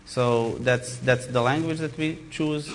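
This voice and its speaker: Halting Serbian man on a panel. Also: medium pitch, in a large hall, slight background noise. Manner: halting, frequent restarts and cut-off words. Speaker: software startup founder on a panel. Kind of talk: panel introduction.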